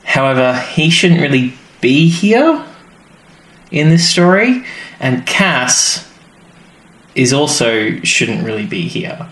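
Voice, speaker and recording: A man's voice: mid-range voice, sing-song, slow, long pauses, a bit bright. A man co-hosting a podcast, chatting casually and rambling with many fillers. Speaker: podcast co-host. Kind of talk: casual chat. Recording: roomy with faint noise.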